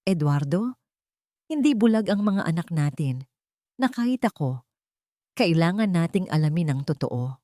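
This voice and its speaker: Woman playing an earnest mother, mellow voice. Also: on the low side, big pitch swings, moderate pace, pauses often, no room sound, clean studio sound. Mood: determined. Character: earnest, determined young mother of twins.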